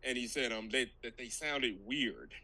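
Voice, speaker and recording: A man's voice: mid-range voice, moderate pace, bright, forceful tone. A man hosting a podcast, steering the chat, laughing easily and hesitating with many ums.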